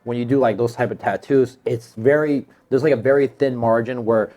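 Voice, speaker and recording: A man's voice: mid-range voice, fairly even intonation, a little fast, dark tone, dull audio. A man in his thirties talking casually as a podcast guest, in an informal, slangy way with some swearing. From United States. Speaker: tattoo artist, podcast guest. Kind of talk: casual conversational storytelling.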